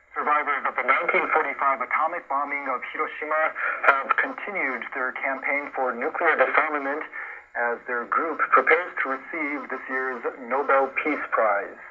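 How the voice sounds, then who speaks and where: Man, news anchor, scripted bulletin reading, muffled shortwave broadcast, clear background noise. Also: mid-range voice. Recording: dull, very noisy shortwave radio broadcast. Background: loud radio static.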